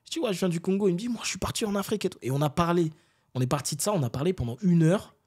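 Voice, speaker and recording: A man from France, an interview guest telling stories in casual, animated, slangy talk and often seeking agreement. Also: sits high, racing, slightly rough. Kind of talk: casual conversational storytelling.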